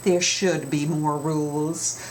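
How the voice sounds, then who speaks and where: A woman's voice: low voice, level tone, takes it slowly, darkish tone, a little hiss or hum. A woman voicing fussy, disapproving small-town grown-ups in a children's storybook, complaining and scolding. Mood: fretful.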